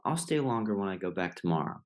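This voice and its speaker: A woman's voice: extremely low pitch, lively intonation, quick pace, a little mellow. A woman playing a naive young male mouse in an audio drama, defensive and easily bored. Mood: breezy.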